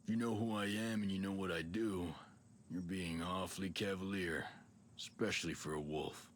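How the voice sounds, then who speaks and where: An actor playing a gruff, confident middle-aged special forces soldier in a video game. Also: male voice, sits low, fairly even intonation, normal speed, a little hiss or hum, lightly acted. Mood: wry, probing. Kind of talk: video game cutscene acting.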